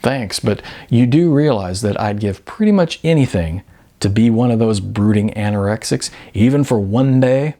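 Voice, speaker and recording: A man's voice: fairly low pitch, expressive, moderate pace, dark tone. A man voicing an easygoing middle-aged male model who talks in a wry, joking, casual way in an audiobook.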